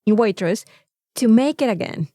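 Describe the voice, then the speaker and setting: A woman's voice: on the high side, animated, moderate pace, mellow, no room sound, studio quality. Effusive Mexican woman chatting, closely recorded. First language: Spanish. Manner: effusive, emphatic repetition. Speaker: podcast co-host. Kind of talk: casual chat, anecdotes.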